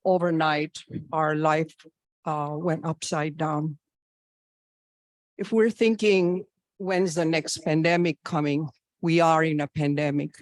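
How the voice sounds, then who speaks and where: A woman in her sixties from Tanzania, a physician making an earnest, halting personal statement and question at a public forum. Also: low voice, a little mellow, no room sound. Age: 60s.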